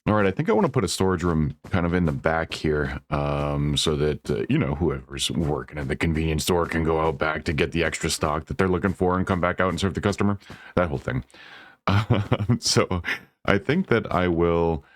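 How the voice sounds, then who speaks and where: A man casually talking through his game build, relaxed and rambling, correcting himself and laughing softly, a bit congested. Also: very deep voice, moderate pace. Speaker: Minecraft builder and channel host. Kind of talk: casual gameplay commentary.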